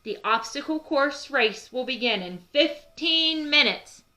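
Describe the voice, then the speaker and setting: A woman voicing a male chicken who announces races loudly and emphatically in a storybook. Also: sits high, animated, measured pace, voice pushed up, full character voice. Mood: announcing. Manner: loud, emphatic announcer delivery.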